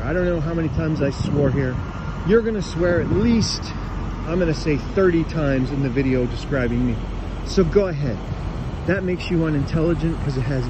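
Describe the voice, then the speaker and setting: A man walking his dog outside, ranting sarcastically into his phone in a casual vlog. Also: on the high side. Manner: sarcastic, taunting. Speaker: vlogger. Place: outdoors on a grassy roadside in winter. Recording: lo-fi phone audio with outdoor traffic noise.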